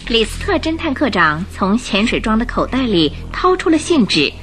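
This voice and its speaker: A woman's voice: a little high, big pitch swings, moderate pace, a bit bright. A woman narrating a radio drama in a scripted, dramatic storytelling style. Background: music.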